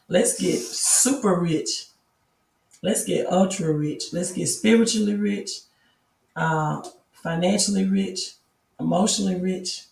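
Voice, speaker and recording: A woman with a raspy, hoarse voice hosting a casual late-night live stream from home, chatting slowly and greeting viewers by name. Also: lowish voice, a little mellow, echoey room, recorded from a distance. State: hoarse from yelling while coaching. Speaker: crypto and news live streamer. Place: at home at a laptop.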